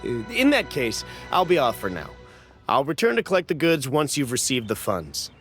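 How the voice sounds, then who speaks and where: An actor playing a wary, fawning merchant buyer who speaks hesitantly and nervously, in a video game. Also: male voice, medium pitch, huge pitch swings, moderate pace, fairly bright tone, faint music behind. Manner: hesitant, obsequious and a bit nervous.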